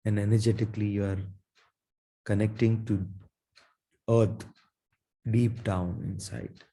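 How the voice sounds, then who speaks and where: A man leading a guided meditation in a soothing, gentle manner, leaving long pauses between his phrases. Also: on the low side, steady tone, vocal fry, husky. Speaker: meditation guide, Reiki teacher.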